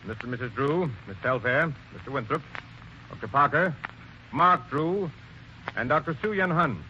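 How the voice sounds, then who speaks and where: An actor playing a brisk, businesslike police sergeant in a 1940s radio mystery, questioning in a commanding way. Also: male voice, mid-range voice, expressive, bright, forceful tone. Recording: dull old radio recording with faint hiss.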